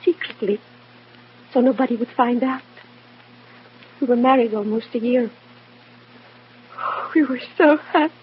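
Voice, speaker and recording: An actress playing a timid, grieving young widow, fragile and pleading, in mid-century radio drama style. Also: female voice, on the high side, unhurried, pauses often, husky. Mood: wistful. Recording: muffled, noisy old radio recording.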